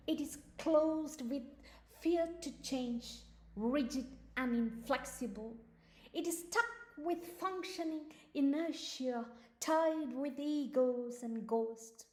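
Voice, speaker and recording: A woman whose first language is Tamil recites spoken-word poetry at a conference, with deliberate, emphatic phrasing, dramatic pauses and repetition. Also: sits high, a little mellow, roomy sound. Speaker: poet presenting at a conference. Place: conference hall, speaking to an audience.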